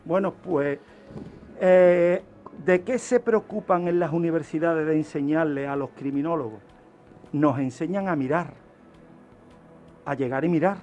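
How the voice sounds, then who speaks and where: A man's voice: fairly high pitch, a little slow, pauses often, a little mellow, faint music behind. A man giving an explanatory radio talk as a criminologist, teaching by asking questions and answering them himself. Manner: didactic, asks and answers own questions. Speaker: criminologist presenting a radio segment.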